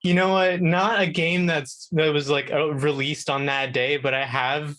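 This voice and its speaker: Easygoing guy guest chatting casually, voice a bit on the high side. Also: fairly even intonation, measured pace, fairly bright tone. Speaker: guest, video game contributor. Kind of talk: casual conversation.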